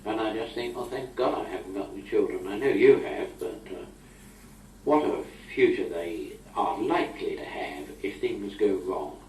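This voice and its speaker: A man's voice: low-pitched, lively intonation, normal speed, darkish tone. A middle-aged or older British man speaking in a chatty, wry, old-fashioned way, as if in an informal spoken letter to a friend. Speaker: amateur correspondent on a taped letter. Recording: old amateur tape, roomy, distant, noisy.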